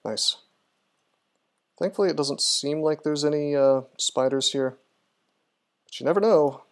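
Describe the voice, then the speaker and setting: A man's voice: fairly high pitch, measured pace. A man commentating casually on a video game as he streams, chattily thinking aloud through his moves. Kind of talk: casual live gameplay commentary, talking through moves and answering chat.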